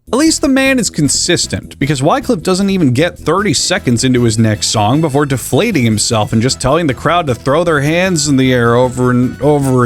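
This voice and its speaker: A man with an American accent delivers scripted comedy commentary, sarcastic and mocking, drawing out words to make a point. His voice sits a little high and swings widely in pitch. Soft music plays underneath. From United States. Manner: sarcastic, mocking, drawn-out stresses. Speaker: YouTube commentary host.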